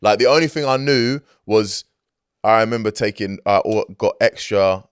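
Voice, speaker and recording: Low-voiced laid-back man in a studio. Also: moderate pace, clear, resonant tone, no room sound. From Greek heritage, grew up outside Greece. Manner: laid-back, peppered with "like" and "you know what I mean". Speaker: hip-hop artist guest. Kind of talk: casual interview chat. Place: recording studio.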